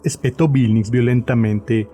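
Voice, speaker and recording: A man's voice: mid-range voice, steady tone, unhurried, mellow, soft background music. A man reading scripted narration for a horror story as its narrator.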